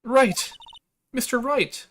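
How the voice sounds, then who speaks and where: A man voicing an earnest, spirited young woman from a game, excitable and eager. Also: very high voice, slow pace, a little hiss or hum, pitched above the performer's own voice, heavily acted. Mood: relieved, bright.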